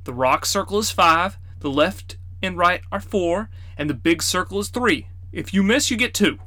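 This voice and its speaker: A man's voice: sits high, animated, moderate pace, pushed and bright, no room sound, voice pushed up. A man voicing a stern but fond old grandfather wizard who scolds his grandchildren and explains a game. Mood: explaining. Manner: scolding, then teasing.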